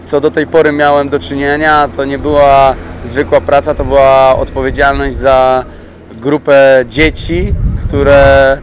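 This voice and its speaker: A man in his thirties from Poland, a youth sports coach giving plain-spoken, reflective interview answers. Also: mid-range voice. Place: open-air speedway stadium pit area. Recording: outdoor pit-lane noise behind voice.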